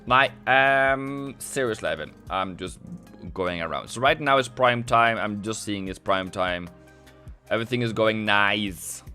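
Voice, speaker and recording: A man's voice: on the low side, a little slow, pushed and bright, soft background music. A man from Norway chatting casually on a live trading stream. He rambles, laughs often and restarts his sentences a lot. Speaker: forex trader on a live stream.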